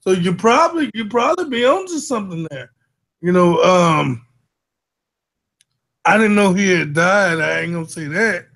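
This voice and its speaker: A man's voice: high-pitched, expressive, moderate pace, creaky, pauses often, fairly bright tone. A man hosting a casual chat, talking in a loose, slangy way and repeating himself often. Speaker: host.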